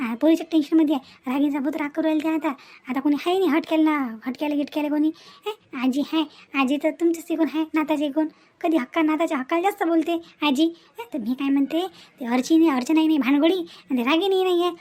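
A woman's voice: high-pitched, darkish tone, close to an everyday voice. A woman with a Vidarbha Marathi accent playing a greedy, bossy young daughter-in-law in a cartoon family drama, wheedling and insistent. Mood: coaxing, boastful. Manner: insistent, wheedling, punctuated with questioning grunts.

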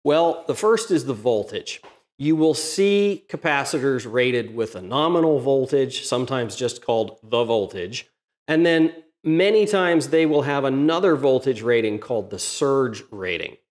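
A middle-aged man hosting a workshop channel, explaining a welder modification to the camera in a plain, methodical way, recorded in his home workshop. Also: mid-range voice. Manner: methodical, plain-spoken, technical. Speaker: DIY welding channel host. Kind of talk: explanatory tutorial talk to camera.

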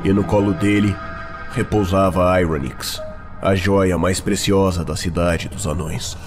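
Very deep Brazilian male fantasy narrator. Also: fairly even intonation, music in the background. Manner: grave, deliberate storytelling. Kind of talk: dramatic scripted fantasy narration.

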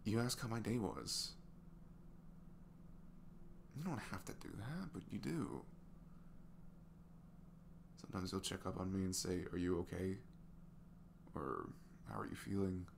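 A man playing a sweet, earnest single dad in an intimate ASMR roleplay, soft and hesitant, often dropping to a whisper. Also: deep voice, lively intonation, measured pace, gravelly, pauses often, slight background noise, full character voice. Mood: tender, grateful. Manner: hesitant, gentle, murmured.

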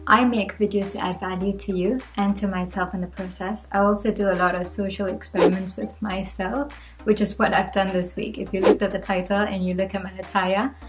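A young woman from Malaysia, talking to the camera from a room at home. She shares her own reflections in an earnest, chatty way, and her pitch rises now and then. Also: level tone, fairly quick, heavy background noise. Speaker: vlogger. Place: home room with bookshelves, indoors.

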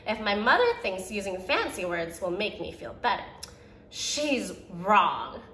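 A woman's voice: mid-range voice, very animated, measured pace, pushed and bright, echoey room, a little hiss or hum. A young woman who teaches preschool, reading a picture book aloud to children and voicing the quoted lines with expression. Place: indoor room by a brick fireplace.

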